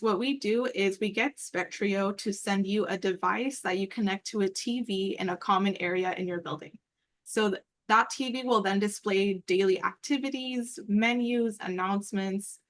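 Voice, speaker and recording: A woman's voice: medium pitch, a bit bright. A woman giving an informal, upbeat, explanatory walkthrough as the presenter on a work call. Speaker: meeting presenter and program coordinator. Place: online group call.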